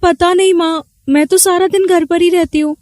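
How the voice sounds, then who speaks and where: A woman acting as an affectionate, lonely young wife in an audio story. Her voice is notably high-pitched. It is recorded dry, with no room sound. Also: fairly even intonation, normal speed, a little mellow, no room sound. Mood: lonely, upset.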